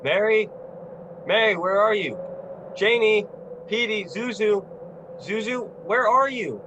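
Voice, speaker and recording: A man's voice: high-pitched, slow pace, noisy background. A man acting a desperate, stubborn family man in an amateur radio play. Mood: frantic.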